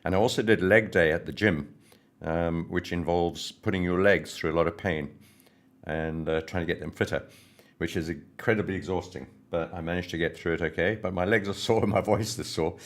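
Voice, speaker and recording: A hoarse man hosting a trading livestream, greeting viewers by name in a friendly, rambling way before going through market news. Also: deep voice, a little fast. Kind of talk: casual live-stream chat and market commentary.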